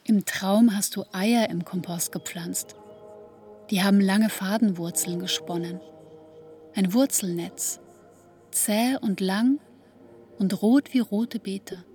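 An actress playing a dreamy female narrator in a radio play, recounting in lyrical, deliberate phrases. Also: mid-range voice, darkish tone, lightly acted. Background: music.

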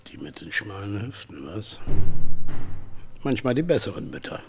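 An actor playing a brusque, callous prison doctor in a radio drama, curt, commanding and dismissive. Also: male voice, lowish voice, very animated, normal speed, creaky, soft background music.

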